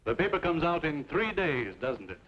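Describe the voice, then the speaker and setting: A man's voice: a little high, sing-song, normal speed. Stern, formal frontier businessman. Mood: coolly threatening. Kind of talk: old-time western radio drama acting. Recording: muffled, noisy old radio recording.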